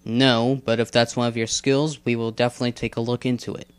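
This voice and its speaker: A man playing a patient, earnest animal assassin mentor in an animated drama, explaining things in a measured, instructive way. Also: medium pitch, clean tone, no echo, natural voice. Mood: helpful. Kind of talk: scripted animated drama dialogue. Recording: background music under the voice.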